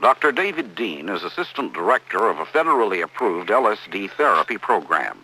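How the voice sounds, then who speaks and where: A man's voice: fairly low pitch, sing-song, brisk, sharp tone, breathy edge, poor audio. A man reading scripted documentary narration on an old educational film.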